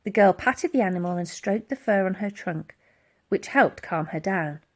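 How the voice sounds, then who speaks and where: A woman narrating a children's adventure story in an animated storytelling style. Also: medium pitch, moderate pace. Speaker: audiobook reader.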